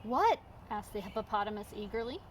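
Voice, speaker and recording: A woman's voice: medium pitch, moderate pace, slight background noise. A woman reading a storybook aloud as narrator. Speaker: storybook reader.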